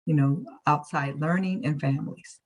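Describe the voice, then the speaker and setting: A woman's voice: low-pitched, steady tone, normal speed. Woman chatting casually, reporting back to a group. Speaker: workshop participant.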